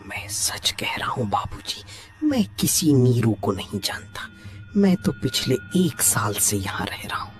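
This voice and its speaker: A man voicing a poor, humble beggar in a horror cartoon story, deferential and ominous, sometimes hushed and breathy. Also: lowish voice, big pitch swings, unhurried, soft background music. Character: poor ragged male beggar, humble, eerily calm.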